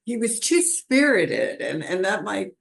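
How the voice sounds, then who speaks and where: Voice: medium pitch, wildly swooping pitch, unhurried, creaky, fairly bright tone. A panelist on a video call, talking in a chatty, rambling way with frequent laughs and restarts. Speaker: webinar panelist. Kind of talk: conversational panel discussion. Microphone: computer mic on a video call.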